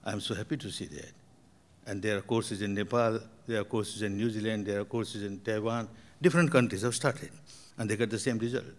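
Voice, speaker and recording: A man's voice: on the low side, steady tone, brisk. Older man, emphatic meditation teacher. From Burma. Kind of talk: answering audience questions.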